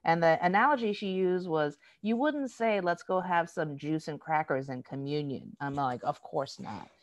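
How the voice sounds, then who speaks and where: An American woman speaking in an interview, explaining things in an animated, storytelling way and acting out quoted dialogue. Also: low voice, moderate pace, no echo. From United States. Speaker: diversity educator. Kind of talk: conversational interview.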